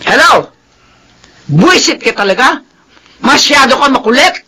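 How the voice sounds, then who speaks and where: Radio drama mother: a fierce, suspicious scold, high raspy forceful voice, swooping delivery. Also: sing-song, unhurried, heavily acted. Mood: irate. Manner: sharp, scolding. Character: fierce, suspicious, hot-tempered middle-aged mother. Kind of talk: melodramatic radio drama acting.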